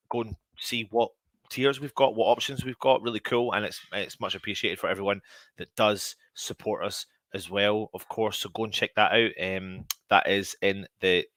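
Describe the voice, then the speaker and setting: A man's voice: fairly low pitch, pushed and bright, dry recording. A man with a mild sniffly cold hosting a live stream, talking casually and directly to his viewers in an informal, chatty way. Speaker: host of a daily football fan channel show.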